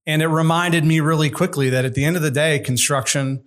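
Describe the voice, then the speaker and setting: A middle-aged man, a construction executive, speaking in a measured, candid and thoughtful way as a guest in an interview. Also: a little high, fairly even intonation, fairly bright tone. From United States. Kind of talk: conversational interview.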